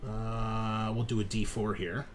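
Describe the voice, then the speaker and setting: A man's voice: lowish voice, little pitch movement, measured pace. A man narrating casually as game master, improvising descriptions with informal asides. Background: background music.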